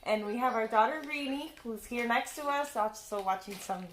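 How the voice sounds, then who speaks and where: A young woman from Cuba co-hosting a casual family video, talking in a lively, expressive way. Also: on the high side, normal speed, slight background noise. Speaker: co-host of a family channel. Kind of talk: casual chat. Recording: roomy echo, faint music.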